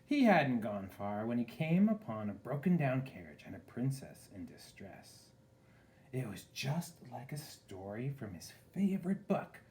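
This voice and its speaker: A man's voice: medium pitch, very animated, measured pace, darkish tone, a little hiss or hum. A man reading a picture book aloud expressively, with casual asides to a young listener.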